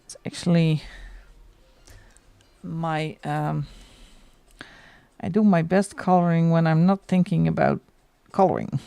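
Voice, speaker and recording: A woman's voice: deep voice, slow, gravelly, long pauses, warm tone, smooth, no echo, soft background music. A middle-aged woman from the Netherlands with a Dutch accent, chatting casually and laughing often while she colours on a livestream. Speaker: livestream host.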